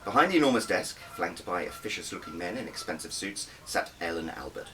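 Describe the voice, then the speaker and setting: A man's voice: low-pitched, sing-song, a little fast, sharp tone. A man reading his own first-person audiobook with dramatic, scripted narration. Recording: distant mic with faint background noise.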